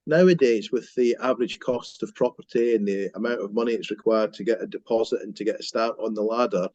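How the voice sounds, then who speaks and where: A man's voice: on the low side, fairly quick, darkish tone, no echo, highs cut off. A Scottish man in his fifties, a mortgage broker telling his career story in an interview, with frequent ums and restarts. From Scotland. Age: 50s. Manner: hesitant, many fillers and restarts. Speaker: mortgage broker, company director. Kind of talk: business interview, casual storytelling.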